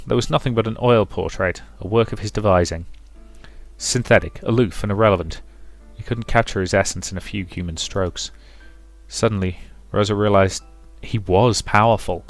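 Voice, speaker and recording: Man, low voice, visual novel narration, let's play reading, music behind. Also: dry recording.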